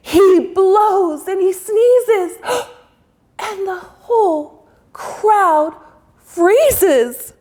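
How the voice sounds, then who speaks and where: Slow, sing-song woman reading a story. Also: very high voice, expressive, a little mellow. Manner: slow, sing-song, drawn-out rhyming delivery. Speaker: children's author reading aloud. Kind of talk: expressive read-aloud storytelling.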